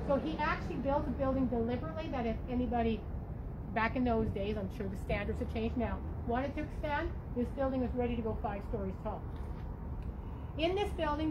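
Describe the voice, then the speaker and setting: A middle-aged woman with a high voice reads a local history tour from paper notes, explaining each detail with casual asides. She speaks into a handheld mic outside on a sidewalk. Passing traffic and street noise sound clearly behind her. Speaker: walking tour guide. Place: outdoors on a city sidewalk. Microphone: handheld mic with foam windscreen.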